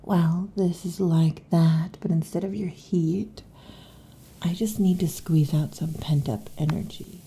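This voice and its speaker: A woman playing a restless, possessive lamia girlfriend in an intimate ASMR roleplay, with a breathy, husky half-whisper and halting, teasing delivery. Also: lowish voice, mellow, heavily acted. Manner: halting, teasing, predatory intensity. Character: restless, possessive female lamia monster girlfriend next door.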